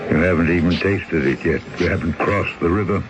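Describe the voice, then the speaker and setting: Actor as a deep-voiced, world-weary old ferryman; calm, fatalistic radio-play part on a hissy broadcast. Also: a little fast, highs cut off, natural voice. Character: weary older male boatman, fatalistic. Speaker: boatman character. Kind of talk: 1970s radio drama acting.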